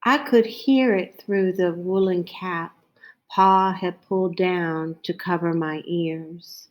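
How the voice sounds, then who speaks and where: Very slow-reading woman storyteller. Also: lowish voice, steady tone. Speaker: reader of a children's picture book. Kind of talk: read-aloud storytelling, slow and deliberate.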